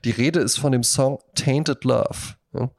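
A man with a mid-pitched voice talks as a podcast host in a casual music chat. He tells things in a chatty, anecdotal way, like recounting stories to a friend. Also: steady tone, slow pace, vocal fry.

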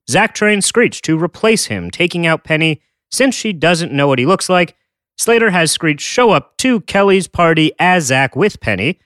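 A man's voice: a little high, fairly bright tone, no room sound, studio quality. Wry, joking male podcast co-host. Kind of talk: casual podcast chat.

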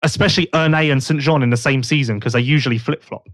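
A man's voice: medium pitch, quick pace, no room sound, clean studio sound. A man hosting a casual podcast, chatting and joking while he thinks aloud. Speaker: podcast host.

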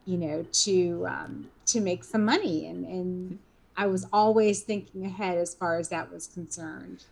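A woman's voice: lowish voice, measured pace, creaky, a little mellow, rough recording. Fifties woman, interview guest, rambling conversational delivery. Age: 50s. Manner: rambling, many fillers and restarts.

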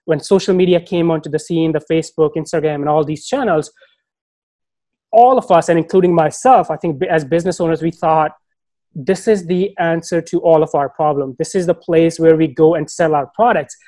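A man's voice: fairly high pitch, fairly quick, mellow, dry recording. A man as a podcast guest, talking casually and thoughtfully, often stopping to restart his sentences. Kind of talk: casual conversation.

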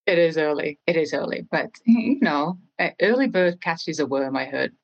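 Low-voiced woman with an Estonian accent. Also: animated, normal speed, lo-fi sound. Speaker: guest, real estate trainer. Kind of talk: podcast interview conversation.

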